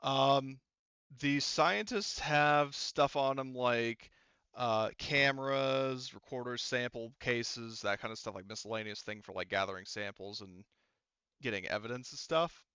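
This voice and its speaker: A man running a tabletop roleplaying game as game master, narrating off the cuff in a casual, halting, rambling way. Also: mid-range voice, sharp tone, clean tone, no room sound, dull audio.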